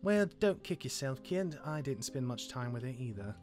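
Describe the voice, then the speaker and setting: A man playing a sardonic, awkward immortal demigod older brother, sarcastic and halting, in a fantasy game roleplay. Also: mid-range voice, sing-song, darkish tone, natural voice. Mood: awkward, kindly. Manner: sarcastic, halting when flustered.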